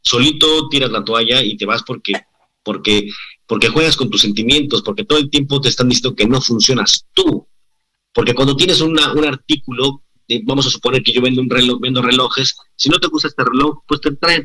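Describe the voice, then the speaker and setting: A man's voice: medium pitch, brisk, pushed and bright, rough recording. A man in his forties with a Mexican accent, a guest actor speaking earnestly over a video call and often restarting his sentences. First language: Spanish. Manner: earnest, reflective, many restarts. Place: indoor room.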